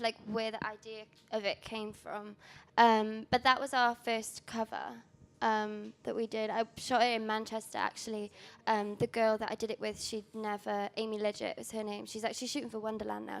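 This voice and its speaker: A young woman whose first language is Welsh presents her own magazine on a panel. Her voice sits a little high with a gravelly edge and stays fairly flat in tone. She talks casually and loosely, full of ums and self-corrections. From Wales. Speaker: magazine founder giving a talk.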